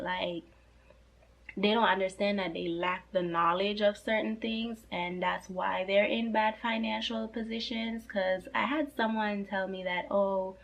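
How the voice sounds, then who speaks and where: A woman in her twenties with a slightly hoarse voice, talking earnestly and casually to camera about personal finance, leaning on fillers like "like" and "you know". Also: medium pitch, dull audio. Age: 20s.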